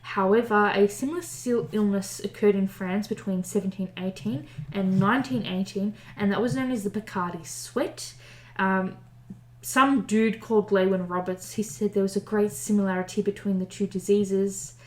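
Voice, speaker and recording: A woman's voice: mid-range voice, fairly even intonation, normal speed, roomy sound, rough recording. Chatty woman reading history notes. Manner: chatty, stumbles over old wording, laughs and swears at herself. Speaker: podcast co-host. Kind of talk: casual podcast, reading history notes aloud.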